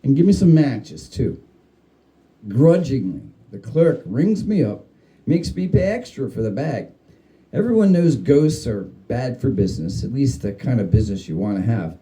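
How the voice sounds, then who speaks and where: A man giving a dramatic reading of a humorous spooky story, with theatrical, deliberate phrasing. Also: mid-range voice, sing-song, mellow, poor audio. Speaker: story reader.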